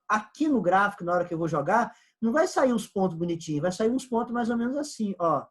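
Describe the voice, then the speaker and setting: A man with a Brazilian Portuguese accent teaching an economics lesson, explaining step by step and repeating key points. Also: high-pitched. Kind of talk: recorded online class lecture.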